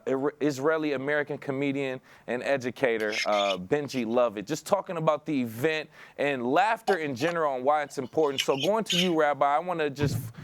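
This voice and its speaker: Higher-pitched male broadcast host. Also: no room sound. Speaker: TV and radio show host. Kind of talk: conversational broadcast interview. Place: indoor studio. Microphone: lapel mic.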